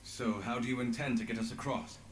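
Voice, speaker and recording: An actor playing an earnest, idealistic investigator in a fantasy game, speaking deliberately. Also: male voice, medium pitch, fairly even intonation, roomy sound, a little hiss or hum, lightly acted. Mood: questioning. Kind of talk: dramatic fantasy game voice acting.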